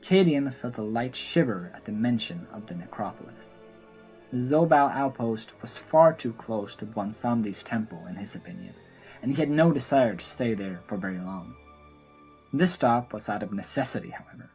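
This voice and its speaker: A woman's voice: rumbling low, animated, moderate pace, mellow, soft background music, low-quality recording. A woman narrating a scripted fantasy story for an audio drama.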